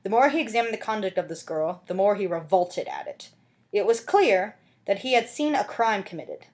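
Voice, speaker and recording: A woman's voice: medium pitch, animated, moderate pace, darkish tone. A woman reading a classic novel aloud as an amateur audiobook narrator, with dramatic scripted delivery.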